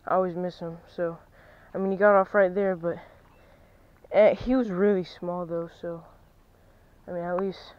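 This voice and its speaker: A young man, vlogging as he fishes from a lake bank, chatty and excitable, dropping to a near-whisper at times. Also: sits high, moderate pace, clear, resonant tone, muffled recording. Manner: chatty, excitable, drops to near-whisper while stalking fish. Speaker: fishing vlogger. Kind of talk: casual vlog narration. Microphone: chest-mounted action camera.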